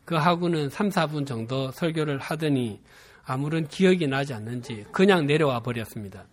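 Man, Busan accent, pastor, sermon, measured earnest storytelling. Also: medium pitch, animated. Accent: Busan Gyeongsang dialect. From Busan, South Korea.

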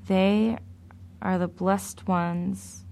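A woman preacher delivers a sermon at an unhurried pace, weighing each phrase. Her mid-range voice carries a gravelly, creaky edge. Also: mid-range voice, steady tone, a little mellow. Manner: slow, measured and deliberate.

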